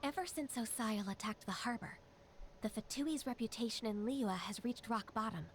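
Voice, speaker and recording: An actress voicing a calm, formal female secretary in a game. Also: a little high, slight background noise, close to an everyday voice. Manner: formal, polite, measured.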